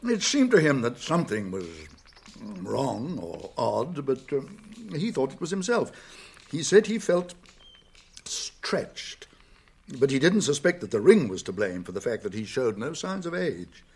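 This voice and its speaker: A British actor playing a wise, kindly old wizard in a radio drama, speaking gravely and deliberately with thoughtful hums. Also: male voice, mid-range voice, very animated, a little mellow, full character voice. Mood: grave, ominous. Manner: deliberate, pausing, murmuring hums and chuckles.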